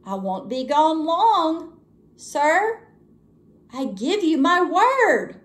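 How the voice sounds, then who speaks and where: Woman acting a lazy, whiny bird, very animated. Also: sits high, very slow, voice pushed up, exaggerated voice. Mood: coaxing, pleading. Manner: complaining, coaxing, sing-song.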